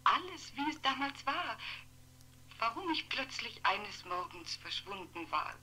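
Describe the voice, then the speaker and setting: Sharp, insistent woman on telephone. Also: fairly high pitch, very animated, unhurried, husky, full character voice. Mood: pleading. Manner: insistent, questioning. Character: insistent, pleading woman from a man's past, phoning. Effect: telephone filter. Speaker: radio play actor. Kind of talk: 1960s German radio drama dialogue. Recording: noisy, humming old telephone line.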